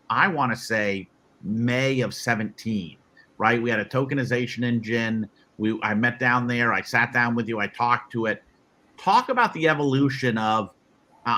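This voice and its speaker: Bright-voiced man moderating a webinar. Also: medium pitch. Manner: loose, rambling, many restarts. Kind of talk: casual panel moderation.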